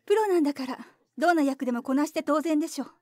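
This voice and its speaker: An actress playing a proud, sharp-tongued veteran diva with a cold, imperious manner in an audio drama. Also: female voice, high voice, animated, very fast, dry recording, studio quality. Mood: cold, scornful.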